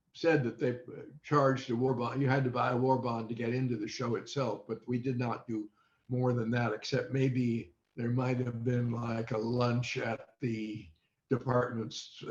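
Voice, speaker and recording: An older man reminisces as a guest in an interview, picking his words slowly and deliberately. His speech is halting and comes over a video call with a dull, echoey sound. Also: mid-range voice, darkish tone. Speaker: interview guest, former child radio quiz contestant.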